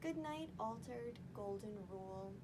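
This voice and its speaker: A woman's voice: fairly high pitch, lively intonation, unhurried. A woman reading a picture book aloud in a bedtime read-along style. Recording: loud background noise.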